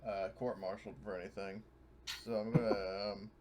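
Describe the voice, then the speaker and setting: A man's voice: on the low side, little pitch movement, normal speed, over a noisy crowd. A man playing a tabletop roleplaying game, chatting casually and hesitantly as he thinks aloud.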